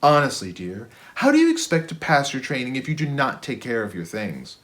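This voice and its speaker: A fussy, motherly robot caretaker from a children's storybook, voiced by a male reader. She speaks in formal, precise, solicitous phrases and nags gently like a careful parent. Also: medium pitch, wildly swooping pitch, fairly quick. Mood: gently scolding.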